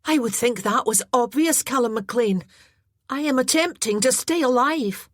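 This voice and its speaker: A woman voicing a fiery, proud young Highland woman with a sharp tongue, in an audiobook. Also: moderate pace, dry recording, clean studio sound, lightly acted. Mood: defiant.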